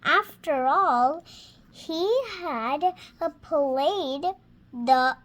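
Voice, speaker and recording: A young girl of six reads a children's storybook aloud in a high voice. Her reading is very slow and hesitant, as she stops to sound out words. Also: fairly bright tone. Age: child, six years old.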